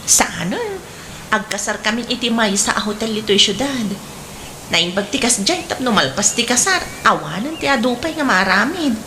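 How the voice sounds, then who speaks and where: A woman's voice: fairly high pitch, expressive, normal speed, pushed and bright, slight background noise. A woman playing a confident, practical young bride-to-be in a radio drama, easygoing and conversational, with an Ilocano accent. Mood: content.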